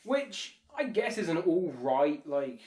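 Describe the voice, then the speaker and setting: High-voiced man, echoey podcast banter. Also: big pitch swings, slow pace, a little mellow. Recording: echoey room.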